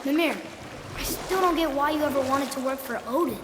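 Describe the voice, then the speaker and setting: A young boy in a video game, earnest and full of curiosity. The voice is high, and there is clear ambient noise behind it. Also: brisk. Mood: questioning. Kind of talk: video game character dialogue.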